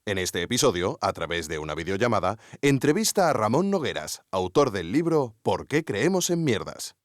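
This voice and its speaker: A man playing an earnest investigative journalist, narrating a scripted documentary mystery in a deliberate, theatrical way. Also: lowish voice, sing-song, dry recording, clean studio sound. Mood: intriguing, deliberate. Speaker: podcast narrator and investigative interviewer.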